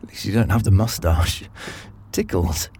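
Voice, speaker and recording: A man's voice: low voice, moderate pace, warm tone, a little hiss or hum. An actor playing a hesitant, doubtful man, the reluctant partner in a chase, stammering and incredulous in a comedy audio drama. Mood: bemused.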